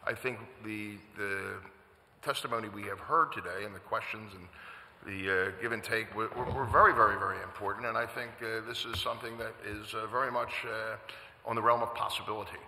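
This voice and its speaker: Older guy chairing a council hearing, loud, lots of uhs and repeats, big echoey room. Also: lowish voice, fairly even intonation, a little hiss or hum. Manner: halting, many fillers and repeated words. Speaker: committee chair. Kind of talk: closing remarks at a public hearing. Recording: echoey council chamber with long reverb.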